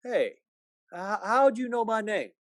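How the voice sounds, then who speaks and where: A man playing a despairing, sardonic small-town family man in a radio play, with a halting, folksy, skeptical drawl. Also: high voice, lively intonation. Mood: skeptical, puzzled. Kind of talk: 1940s-style radio play acting.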